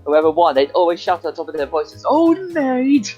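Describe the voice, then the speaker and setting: A man's voice: on the high side, big pitch swings, normal speed, a little mellow, husky. Young man on a dull mic. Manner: jokey, rambling with fillers. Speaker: co-host. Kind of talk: casual live-stream chat. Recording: dull lo-fi mic, faint music.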